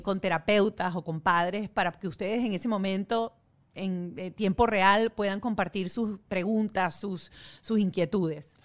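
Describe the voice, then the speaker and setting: A woman co-hosting a parenting podcast in a casual, upbeat, chatty manner. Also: mid-range voice. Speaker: podcast co-host.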